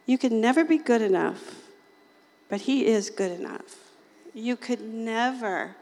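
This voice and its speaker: Raspy woman preacher, folksy and chatty, talking to a small group in a hall with a long echo. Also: a little high, a little slow, darkish tone. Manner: chatty, folksy, often laughs and acts out quoted voices. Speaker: lay preacher. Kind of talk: informal sermon to a small group.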